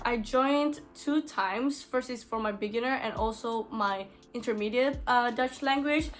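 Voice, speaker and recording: A young woman from Indonesia with an Indonesian accent, talking casually to camera from a small bedroom, full of "like" fillers and restarts. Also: on the high side. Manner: chatty, many "like" fillers and restarts. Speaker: vlogger.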